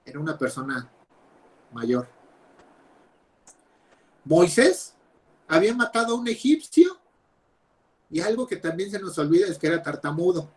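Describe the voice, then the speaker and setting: A young man preaching a sermon over a video call, emphatic, with loud stresses and quiet drops. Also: high voice, sing-song, measured pace, long pauses. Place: home, speaking over videoconference.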